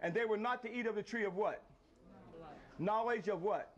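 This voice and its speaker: A man in his fifties teaching a group outdoors by an ancient temple wall, often pausing to quiz his listeners for answers. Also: sits high, muffled recording. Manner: questions the group to draw answers. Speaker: lecturer leading a tour.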